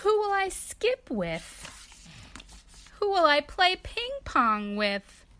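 A woman voicing an anxious, clingy male elephant in a read-aloud storybook, pleading in a high, loud, drawn-out voice. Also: sing-song, exaggerated voice.

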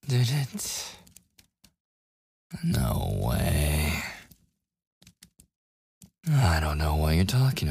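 Man voicing a brooding male werewolf, gravelly, heavily breathy, near-whispered, protective, grudgingly tender, commanding, intimate ASMR roleplay. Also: a bass voice, darkish tone, exaggerated voice. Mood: reluctantly tender. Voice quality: very husky, breathy, gravelly, often dropping to a whisper. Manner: slow, grudging, possessive, commanding. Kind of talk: boyfriend ASMR roleplay, intimate scripted acting.

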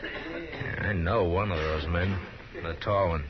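A man playing a calm, commanding frontier marshal with a dry, unhurried manner in a 1950s radio Western. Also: deep voice, exaggerated voice. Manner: dry, laconic, unhurried authority. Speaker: lead actor. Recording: muffled 1950s radio recording, crowd behind.

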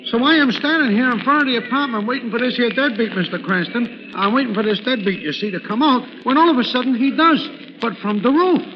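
Goofy new york cab driver, real high voice, wisecracking, muffled noisy old radio. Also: male voice, fast talker, few pauses. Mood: shaken. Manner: slangy, rambling, wisecracking. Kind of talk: old-time radio drama acting.

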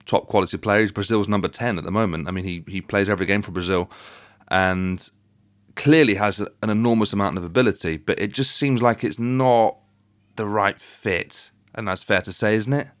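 A man's voice: on the low side, moderate pace, no echo, studio quality. A man hosting a podcast, talking football in a casual, conversational way. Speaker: podcast host. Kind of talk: casual sports discussion.

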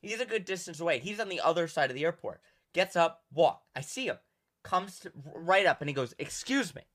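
Loud, animated young man storyteller. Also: a little high, a little fast. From Rhode Island. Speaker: podcast co-host. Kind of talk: casual chat.

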